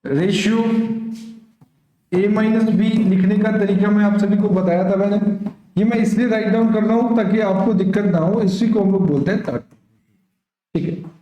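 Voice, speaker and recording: A man's voice: high voice, steady tone, normal speed, pauses often, warm tone, highs cut off. A man with an Indian accent teaching an exam-prep math lesson, working through problems and often checking that students follow. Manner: instructive, checks understanding with frequent short questions. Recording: very echoey room.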